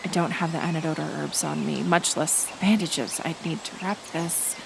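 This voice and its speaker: A woman playing a kind, stubborn healer who lives in the woods, speaking haltingly and earnestly to the listener in a roleplay audio drama. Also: deep voice, steady tone, gravelly. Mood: worried, focused. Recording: outdoor nature ambience, insect noise. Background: forest ambience with insects.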